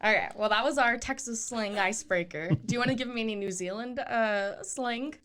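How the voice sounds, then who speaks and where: A woman's voice: fairly high pitch, a little fast, a bit bright, clear, resonant tone. A woman hosting a local podcast, upbeat and chatty, laughing easily as she talks with a guest. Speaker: podcast host. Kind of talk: casual interview chat.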